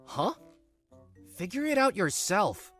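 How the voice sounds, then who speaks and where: A woman's voice: medium pitch, very animated, slow, soft background music, pitched above the performer's own voice. A woman voicing a smug, irritable young husband in a manga drama, dismissive, whiny and self-righteous. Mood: irritated.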